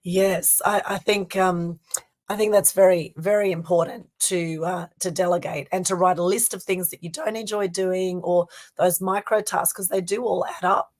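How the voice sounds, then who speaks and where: A woman hosting a live-streamed interview over a video call, her voice scratchy at the moment as she asks measured follow-up questions. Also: fairly low pitch, steady tone, darkish tone. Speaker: host.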